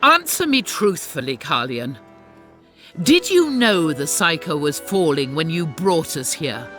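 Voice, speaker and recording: A woman's voice: low-pitched, sing-song, unhurried, fairly bright tone, exaggerated voice. An actress playing a wary female battle sister in a game cutscene, pointed and accusing. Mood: defiant. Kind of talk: video game cutscene acting. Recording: game audio over music.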